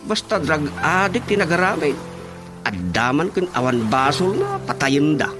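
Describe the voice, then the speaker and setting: A man's voice: on the high side, wildly swooping pitch, measured pace. A man with an Ilocano accent voicing a deferential village watchman in a radio drama. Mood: serious. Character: village watchman reporting to chairman. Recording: radio drama with loud music behind.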